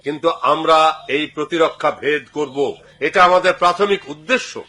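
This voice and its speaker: A man's voice: on the high side, moderate pace, pushed and bright, faint music behind. A man voicing a resolute, devout sultan in a dubbed cartoon, addressing his army in formal, declamatory oratory. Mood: solemn, rousing. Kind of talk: dubbed cartoon speech to troops.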